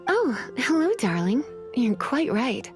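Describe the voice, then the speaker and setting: A woman's voice: on the high side, sing-song, takes it slowly, over music. A woman voicing a sultry, languid librarian with a purring, teasing manner. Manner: purring, teasing, calls people darling.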